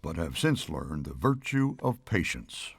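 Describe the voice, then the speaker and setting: A man voicing a kindly, wise elderly statesman in an animated series, genial and avuncular. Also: rumbling low, unhurried, background noise, full character voice. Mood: thoughtful. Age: older. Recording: music behind the voice.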